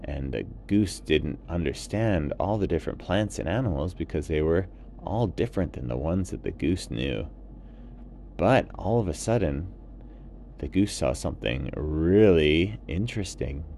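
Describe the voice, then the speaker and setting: A man's voice: very deep voice, animated, measured pace, gravelly, mellow, slight background noise. A man narrating a bedtime story for young children in simple, repetitive phrases. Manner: simple, repetitive, asks listeners questions.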